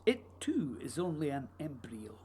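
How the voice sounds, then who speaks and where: Guy doing dreamy intense writer, radio play, husky n slow but very animated, theatrical. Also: mid-range voice, a little hiss or hum. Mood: dreamy, haunted. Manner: theatrical, emphatic, swooping. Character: intense, whimsical Scottish playwright, insistent and dreamy. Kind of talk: theatrical radio drama acting.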